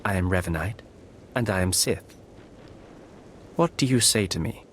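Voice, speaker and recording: A low-voiced alien lord of beasts, played by a male actor, grave and threatening. He talks in stiff, formal phrases like a dark master in a fantasy video game, over faint background noise. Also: moderate pace, natural voice. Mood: solemn. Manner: formal, menacing, stilted.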